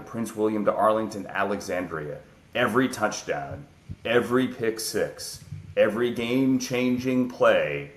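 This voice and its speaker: A man's voice: low-pitched, roomy sound. Hype-voiced man announcing a promo intro. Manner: punchy, dramatic delivery in short lines. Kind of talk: hyped scripted promo intro.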